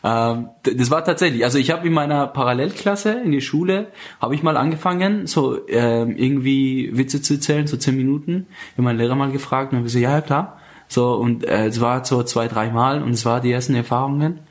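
A young man in his twenties, originally from Afghanistan, talks about his comedy career in a relaxed interview. He speaks at an average pitch and keeps breaking off, restarting his sentences and filling the gaps with hesitations. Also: a little fast. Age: 20s. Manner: hesitant, many fillers and restarts. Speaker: stand-up comedian guest. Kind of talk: casual interview chat.

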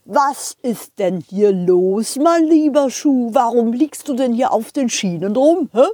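An unhurried, good-natured train driver from a children's audio play, a man as acted by a woman. He drawls and fusses kindly, with slow phrases that swing in pitch, recorded dry. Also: animated, dark tone, no room sound. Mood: fussing, kindly. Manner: drawn-out, chuckling, ends questions with "hä".

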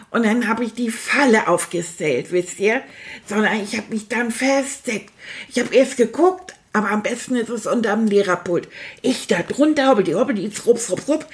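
A woman's voice: on the high side, lightly acted. A woman voicing a boastful, chatty male stuffed toy rat who tells a children's story. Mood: excited.

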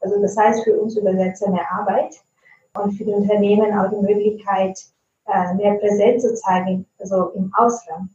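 An Italian woman answers interview questions with a mellow, hesitant delivery, often pausing on filler sounds. She sits away from the mic, so the sound is dull and lo-fi with plenty of room echo. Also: mid-range voice, little pitch movement. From Italy. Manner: thoughtful, frequent hesitation fillers. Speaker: translator and trainer, interview guest. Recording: roomy, distant, dull lo-fi sound.

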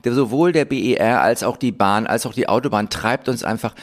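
A man with a cold co-hosting a satirical podcast, speaking in a dry, ironic, deadpan manner. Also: mid-range voice, brisk. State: has a cold. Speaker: podcast co-host.

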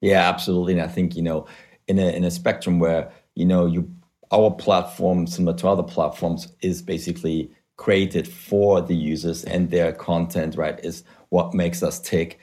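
German-accented guy, low voice, calm and explaining stuff, says you know a lot. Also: moderate pace. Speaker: guest. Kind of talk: podcast interview.

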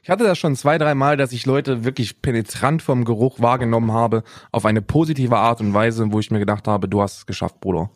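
A man in his thirties jokes in a casual podcast chat, with a boastful, mock-serious manner. His voice sits at a middle pitch. The recording is dry and close, with no room sound. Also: a little fast, few pauses, no room sound. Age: 30s. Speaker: podcast co-host.

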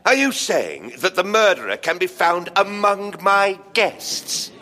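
A man's voice: high-pitched, big pitch swings, measured pace, pushed and bright, in a large hall, exaggerated voice. An actor playing a proud, pompous, defensive theatre director and father in an audio drama. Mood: offended. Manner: pompous, indignant. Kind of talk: full-cast audio drama acting.

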